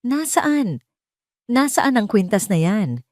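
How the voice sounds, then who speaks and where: Urgent young male lover, pitched up by a woman. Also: big pitch swings, slow pace, a little mellow, dry recording, studio quality. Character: urgent, devoted young man, the heroine's lover.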